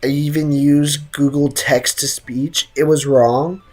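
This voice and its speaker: A woman's voice: low voice, fairly even intonation, slow, a little mellow. A woman doing casual, slangy live gameplay commentary, teasing and reactive, with a slightly sick throat. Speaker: game streamer.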